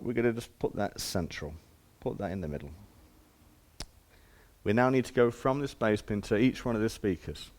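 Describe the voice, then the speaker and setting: A man's voice: mid-range voice, a little mellow. A man giving an informal hands-on demonstration as a shop presenter, explaining step by step in plain, casual words.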